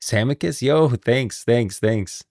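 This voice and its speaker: A man's voice: medium pitch, animated, slow pace, darkish tone, no room sound, clean studio sound. A man hosting a livestream, chatting and reacting casually in informal, slangy speech. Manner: informal, slangy, thanks viewers often. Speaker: livestream host.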